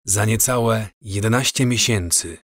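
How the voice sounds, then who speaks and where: An actor playing a sceptical, worried husband and time traveller in an audio drama. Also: male voice, lowish voice, a little slow, a bit bright, no room sound, studio quality.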